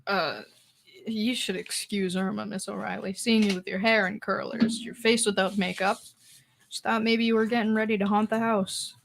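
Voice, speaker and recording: A woman's voice: medium pitch, little pitch movement, moderate pace, creaky, slight background noise, natural voice. A woman playing a wry, wisecracking male musician neighbour in an old-time radio comedy style. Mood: mocking.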